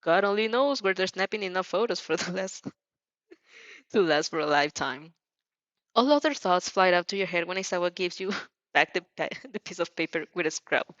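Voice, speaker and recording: Woman, slightly low voice, expressive amateur storyteller, giggly, stumbling reader, dry close sound. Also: normal speed, dry recording, muffled recording. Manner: giggly, stumbles over the text. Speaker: fan fiction reader.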